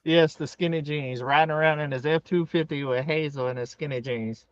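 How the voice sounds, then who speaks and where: A man's voice: a little high, steady tone, a little fast, smooth, no room sound, dull audio. A man in his forties chatting casually as co-host of a live stream, with folksy, teasing banter. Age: 40s. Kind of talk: casual chat.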